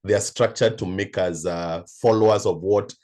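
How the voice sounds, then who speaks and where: A Kenyan-accented man speaks in a fairly low register, like a coach addressing an online session. His delivery is deliberate and emphatic, setting out each point in an orderly way. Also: steady tone, darkish tone. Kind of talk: motivational talk in an online session.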